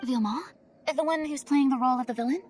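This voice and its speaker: A woman's voice: sits high, quick pace, a little mellow, slight background noise, close to an everyday voice. An actress playing a gracious, theatrical young woman, a stage star, speaking politely and thoughtfully in a video game.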